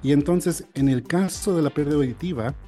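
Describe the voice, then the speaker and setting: American man with a soft, dark voice. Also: a little high, soft background music. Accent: American English. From United States. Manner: halting, frequent restarts and fillers. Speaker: audiologist guest. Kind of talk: interview, explaining.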